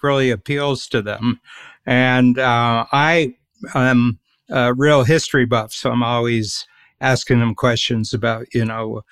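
A man's voice: mid-range voice, fairly bright tone, clean tone, dry recording. A man hosting a conversational interview who asks friendly, rambling questions. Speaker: interview host.

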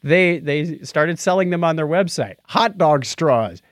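A man's voice: on the high side, animated, unhurried, no echo. A man co-hosting a podcast, chatting casually and jokily about news, often chuckling as he goes. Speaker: podcast co-host. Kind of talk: casual tech news chat.

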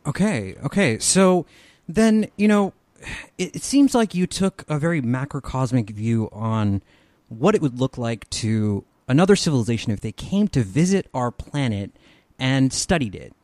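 A man's voice: medium pitch, moderate pace, no room sound. A man hosting a podcast, introducing his guest and asking questions with enthusiasm. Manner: enthusiastic, rising into excited questions. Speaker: podcast host. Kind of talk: podcast interview.

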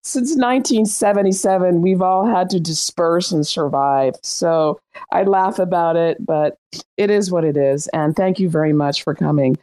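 A middle-aged woman hosts a casual online chat in a lively, talkative way and laughs easily. Her voice sits somewhat low. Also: normal speed. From Iran. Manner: lively and chatty, laughs often. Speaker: host. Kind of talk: casual chat hosting an online audio room.

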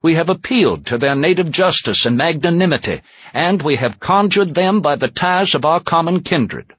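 A stately 18th-century American statesman, played by a man, gives a formal, solemn recitation. His husky voice sits fairly high and rises and falls widely. The delivery is deliberate, indignant and resolute, with weighted pauses in a dry recording. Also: expressive, fairly bright tone, no echo. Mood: solemn regret. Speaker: historical interpreter. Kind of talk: formal dramatic recitation of a historical document.

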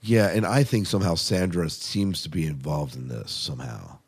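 A man's voice: low voice, moderate pace, slightly rough. A man hosting a podcast in casual, conversational chat about shows, often putting questions to his co-host.

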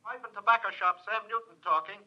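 A man's voice: high voice, quick pace. An actor playing an old male shopkeeper answering the telephone in a 1940s radio drama. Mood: businesslike. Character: old male tobacco shop keeper on the phone. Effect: telephone filter. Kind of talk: 1940s radio character acting. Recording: dull old radio, phone-like sound.

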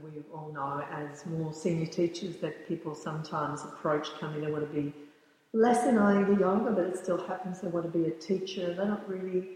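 A woman in her sixties, a yoga teacher, answering interview questions thoughtfully and often pausing to search for words. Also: on the low side, steady tone, a little mellow. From born in the United States, raised in the Bahamas. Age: 60s. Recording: very echoey room with long reverb.

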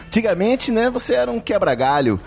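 A man's voice: high voice, big pitch swings, fairly quick, vocal fry, a little mellow. A man with a Brazilian accent hosting a podcast in a casual, chatty, joking way. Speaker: podcast host.

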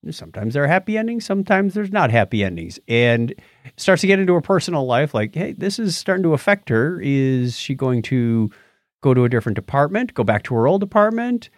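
Lively, higher-pitched male podcast host. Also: fairly quick, no echo, studio quality. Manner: jokey, informal asides. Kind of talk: casual podcast chat.

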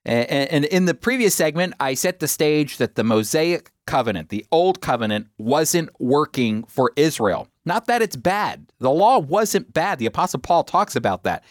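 A man gives a Bible teaching for radio in a fairly high voice. He talks emphatically, pausing for rhetorical questions. The sound is dry and close, with no room echo. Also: big pitch swings.

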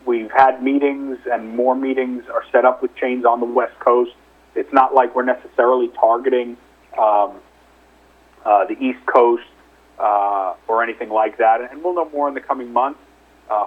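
Male company executive, husky, conversational and hedging, heard through a narrow phone-line recording on an investor call. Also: medium pitch. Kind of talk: investor call question-and-answer. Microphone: telephone conference call. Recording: narrow, muffled telephone line.

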